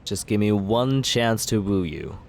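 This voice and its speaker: A man in an ASMR roleplay as a villain, talking smoothly and persuasively in a teasing, flirtatious way. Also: on the low side, expressive, measured pace, a little hiss or hum.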